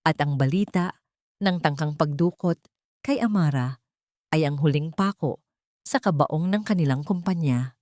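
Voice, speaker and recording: A woman narrating a dramatic love story in a measured, storytelling manner. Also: sits low, a little mellow, dry recording.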